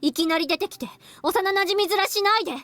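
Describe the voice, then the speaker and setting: A woman's voice: very high voice, racing, sharp tone, no room sound. A woman playing a prickly teenage girl in a Japanese anime-style visual novel. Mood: angry, accusing. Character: prickly teenage girl, estranged childhood friend. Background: game music.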